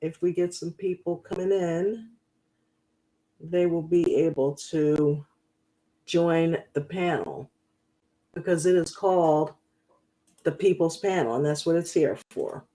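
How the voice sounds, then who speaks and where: Slangy, animated woman on a livestream. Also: low-pitched, slow pace, pauses often, poor audio. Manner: animated, slangy, acts out mocking quotes. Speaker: livestream reality-show reviewer. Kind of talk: casual reaction livestream chat.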